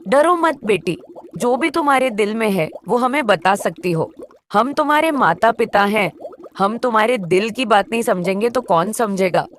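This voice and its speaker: A woman's voice: medium pitch, expressive, normal speed, a little hiss or hum, natural voice. A woman playing a caring mermaid mother in a cartoon moral story, in a soothing, motherly manner.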